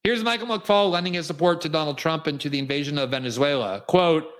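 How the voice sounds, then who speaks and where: A man's voice: fairly high pitch, fast, a bit bright. A man from South Florida hosting a political commentary show, delivering an emphatic, indignant, cutting monologue. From South Florida, USA. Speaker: host.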